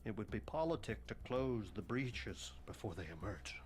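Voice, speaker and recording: A man playing a morbid, pompous, bookish headmaster of an occult academy in a video game cutscene, speaking in ornate, deadpan phrases. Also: lowish voice, normal speed, lightly acted. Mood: grave, ornate.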